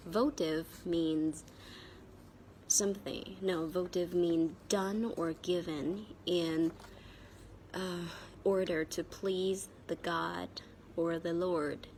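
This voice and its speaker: A Vietnamese-accented woman with a cold and sore throat gives a spoken English vocabulary lesson as a teacher, haltingly and repeating key words. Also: lowish voice, darkish tone, slight background noise.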